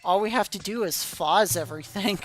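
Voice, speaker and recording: A woman streaming a game tutorial in casual chat, her voice going hoarse after hours of talk, rambling and apologetic with many fillers. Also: medium pitch, moderate pace, soft background music. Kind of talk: casual live-stream commentary.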